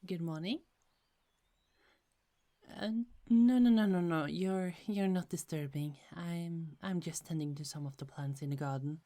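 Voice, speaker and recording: Woman acting gentle young goddess, soft half-whisper, low mellow voice, slow, giggly. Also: natural voice. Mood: friendly. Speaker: ASMR roleplay actor. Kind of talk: ASMR roleplay.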